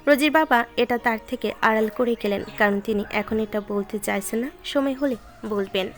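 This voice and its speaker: Higher-pitched woman narrating a story. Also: moderate pace. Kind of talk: scripted romance story narration. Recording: music bed behind the voice.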